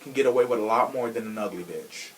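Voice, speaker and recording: A man's voice: on the low side, quick pace, a bit bright, poor audio. Sassy man chatting on a podcast. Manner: sassy, frank, profane slang. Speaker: podcast host. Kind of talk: casual true crime chat.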